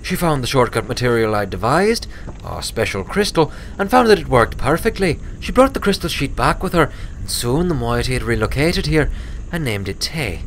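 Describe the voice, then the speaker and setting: An earnest young filly pony acted out by a man recounts things in careful, wordy detail. The storybook character is grave and fiercely determined. The pitch moves in a lively way, over clear background noise. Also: moderate pace. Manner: precise, wordy, explanatory.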